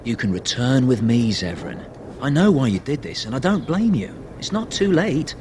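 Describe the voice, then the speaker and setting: An actor playing a smug, persuasive assassin in a fantasy video game, speaking theatrically. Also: male voice, medium pitch, sing-song, moderate pace, a little mellow, a little hiss or hum, natural voice. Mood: coaxing. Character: smug male assassin offering an old friend mercy.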